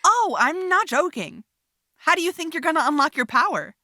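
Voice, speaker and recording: A woman's voice: high-pitched, big pitch swings, normal speed, bright, forceful tone, no echo, clean studio sound, full character voice. A woman playing a brash, sarcastic and provocative young witch in an audio drama. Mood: brazen teasing. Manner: sarcastic, taunting.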